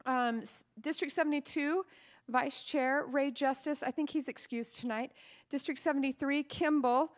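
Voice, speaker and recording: A high-pitched woman with an American accent hosts an event in a hall. Her voice is big and chatty, and she runs through introductions with a casual, friendly bounce. She talks into a mic at a podium. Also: fairly even intonation, moderate pace. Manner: loud, chatty, laughs between introductions. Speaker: county party chair hosting a debate.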